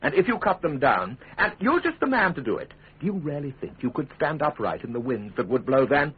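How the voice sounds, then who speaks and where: A shrewd, principled English statesman of Tudor times in a radio play. His voice is very husky and swings expressively through measured, ironic speeches, on a muffled lo-fi recording. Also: fairly high pitch, very animated, full character voice. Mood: impassioned. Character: principled, shrewd middle-aged male Tudor lawyer and father. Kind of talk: British radio drama acting.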